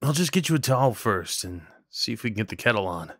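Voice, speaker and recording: A man acting a kind, slightly awkward neighbor in an audio roleplay, talking in a gently concerned, hesitant, conversational way. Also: on the low side, big pitch swings, fast talker, a little hiss or hum. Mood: gentle, awkward. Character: kind, awkward, caring male neighbor comforting someone. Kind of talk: ASMR roleplay, soft one-sided dialogue acting.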